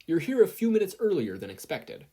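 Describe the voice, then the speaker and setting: A hearty, emphatic male hero mentor from a storybook adventure, as a reader acts him out. He is loud and formal, always encouraging, with a very lively delivery. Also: mid-range voice, a little fast, breathy edge, pitched above the performer's own voice. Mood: welcoming.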